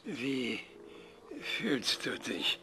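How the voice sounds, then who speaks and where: An actor playing an obsessive older male scientist who questions an AI in a calm, measured, probing tone, in a radio play. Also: mid-range voice, in a large hall, a little hiss or hum, exaggerated voice.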